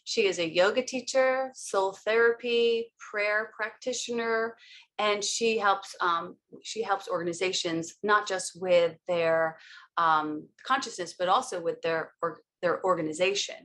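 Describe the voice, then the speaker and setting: A woman's voice: medium pitch, unhurried, fairly bright tone. A woman hosting a spiritual service, introducing a guest in an emotional, gushing way with many ums and restarts.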